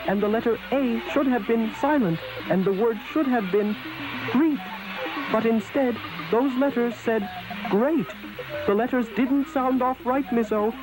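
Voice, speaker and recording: A woman's voice: on the high side, measured pace, background noise, close to an everyday voice. A woman playing a polite, firm stickler for rules in a children's educational show, explaining precisely and apologetically insisting. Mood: apologetic, insistent. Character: firm, polite, rule-bound checker of letter sounds. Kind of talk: 1970s children's educational puppet television.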